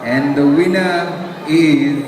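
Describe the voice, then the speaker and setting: A man announcing award categories live on stage, recorded from a distance in an echoey hall with a loud crowd. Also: fairly high pitch, slow, heavy background noise. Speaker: awards show presenter.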